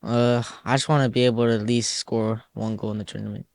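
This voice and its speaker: A teenage boy with a low voice and a gravelly rasp. He is a young soccer player answering questions in a relaxed, wandering way, dropping "like" into almost every phrase. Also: a little fast, no room sound. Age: teens. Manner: loose, rambling, lots of "like" fillers. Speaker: youth soccer player, guest. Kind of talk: casual interview answers.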